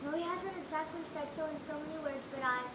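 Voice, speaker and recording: Echoey and far from the microphone, a woman plays a lovestruck young daughter on stage. She speaks very high, earnest and stubbornly insistent. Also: quick pace, close to an everyday voice. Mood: hopeful, insistent. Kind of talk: school stage play acting. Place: stage in a large room, distant microphone. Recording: echoey, noisy, distant stage recording.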